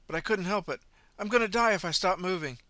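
A frantic, breathless man pleads and begs, put on by a male storyteller. His voice rides well above the narrator's own in a strained, pitched-up panic. It is bright and dry. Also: fast talker, no echo. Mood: desperate pleading.

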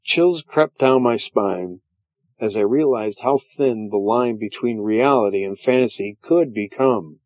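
A man narrating a fantasy story as a stout, proud dwarf adventurer, in a measured, dramatic storytelling manner. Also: on the low side, no echo, highs cut off, close to an everyday voice. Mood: uneasy, reflective. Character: stout, hardy, proud male dwarf adventurer telling his tale. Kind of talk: scripted first-person fantasy story narration.

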